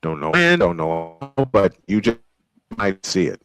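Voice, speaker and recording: A man's voice: lowish voice, very animated, unhurried, vocal fry, a bit bright, faint music behind. A man announcing a baseball game live, mixing old-school play-by-play with casual asides. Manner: old-school sportscaster delivery.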